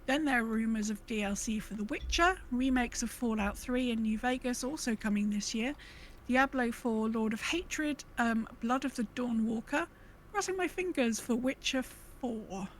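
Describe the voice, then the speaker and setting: Higher-voiced woman over clear background noise. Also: moderate pace. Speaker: podcast co-host. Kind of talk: casual gaming podcast chat. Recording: clearly noisy background ambience.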